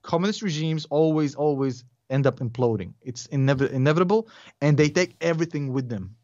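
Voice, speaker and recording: A man's voice: on the high side, a little fast. A man in his forties with a thick Russian accent chats as a podcast guest. He rambles, restarts his sentences and laughs a lot. His voice sounds dry and a little dull, with no room echo. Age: 40s. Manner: animated, rambling, laughs often. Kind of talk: casual interview chat.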